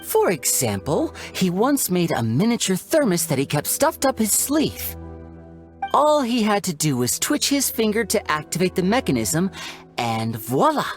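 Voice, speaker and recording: A woman's voice: medium pitch, big pitch swings, unhurried. A woman playing a playful young stage magician in a video game, charming, teasing and showy in delivery. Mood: breezy, playful. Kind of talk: scripted video game character dialogue. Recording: music behind the voice.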